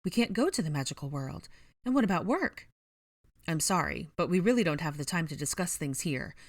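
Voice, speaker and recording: A woman's voice: low voice, animated, fairly quick, dry recording, studio quality. A woman voicing a young male leader in an audiobook, giving orders in an urgent, commanding way. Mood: brisk, controlled.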